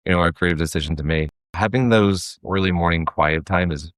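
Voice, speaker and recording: A man in his thirties talking as an interview guest, casual and reflective, somewhat halting. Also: deep voice, no echo, studio quality. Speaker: guest, audio drama creator.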